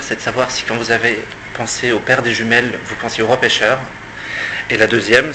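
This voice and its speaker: A man in the audience asking a long, careful question, hesitating between words. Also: medium pitch. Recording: clear background noise.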